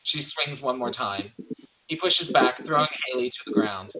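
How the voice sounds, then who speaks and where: A man's voice: medium pitch, lively intonation, moderate pace, a crowd in the background, poor audio. A man narrating stage directions from a script at a virtual table read.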